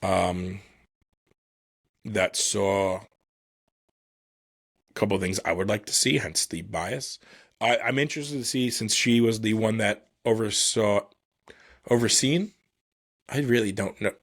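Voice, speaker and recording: A man hosting a solo podcast, casually commenting on motorsport in a halting, think-aloud, self-deprecating way. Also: on the low side, fairly bright tone, dry recording, studio quality. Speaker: podcast host.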